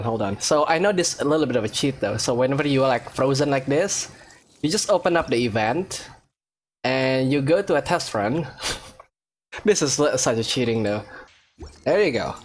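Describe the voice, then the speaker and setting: A man's voice: mid-range voice, a little hiss or hum. A man giving casual let's play commentary, reacting aloud and talking to himself. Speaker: gaming video host. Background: faint game music.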